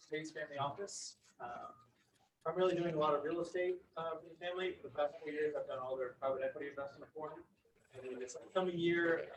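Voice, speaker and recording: A man introducing himself as a family office investor at a business meeting, in a relaxed, loosely phrased way. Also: fairly high pitch, far from the mic, a little hiss or hum. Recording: roomy meeting room from afar.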